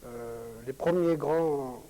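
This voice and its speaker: A man's voice: on the high side, slow pace, dark tone, rough recording. An older man, a former footballer, recalling his career in an interview, hesitant with many fillers. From France. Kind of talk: reminiscing interview.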